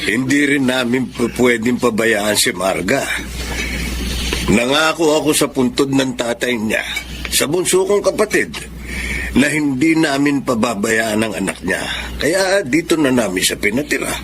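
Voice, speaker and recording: A man's voice: medium pitch, animated, unhurried, background noise, lightly acted. A man playing a scheming, cajoling older father-in-law in a Filipino radio drama, with a folksy manner. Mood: persuasive.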